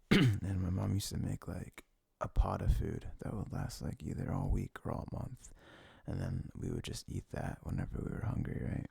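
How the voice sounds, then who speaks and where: A young man with a low, heavily creaky voice speaks close and intimate, as in casual boyfriend ASMR. His tone is dark and soft, often sinking toward a whisper. He rambles haltingly, unscripted, circling back on his words. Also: no room sound. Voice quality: low, gravelly, breathy, often dropping near a whisper. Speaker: ASMR audio creator playing a comforting boyfriend.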